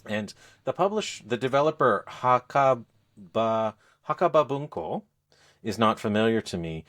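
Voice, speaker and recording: A man speaking as a podcast co-host, explaining things in a casual, halting, conversational review style. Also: mid-range voice, big pitch swings, fairly bright tone. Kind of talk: casual game review chat.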